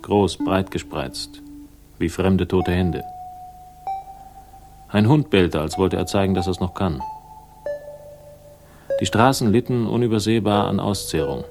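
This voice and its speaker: An actor playing a world-weary, dry-witted investigator in a 1960s German radio crime drama, speaking in a laconic, sardonic way. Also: male voice, low-pitched, measured pace, pauses often, over music. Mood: bleak, reflective. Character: world-weary, dry-witted male investigator narrating his own case.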